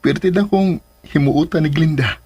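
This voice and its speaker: An actor playing a hopeful, lovestruck adult son in a Filipino radio drama, eager, with a Filipino accent. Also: male voice, a little high, moderate pace, a little mellow, slightly rough, no room sound. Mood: happy, hopeful.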